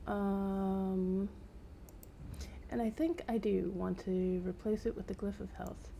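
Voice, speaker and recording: A woman's voice: medium pitch, takes it slowly, creaky, dark tone, a little hiss or hum. A woman giving casual live-stream game commentary, rambling as she thinks aloud, with wry asides.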